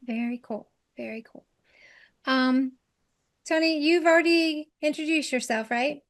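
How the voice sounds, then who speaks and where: A woman's voice: high-pitched, measured pace. A woman hosting an online workshop, moderating introductions in a friendly, encouraging way. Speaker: workshop host.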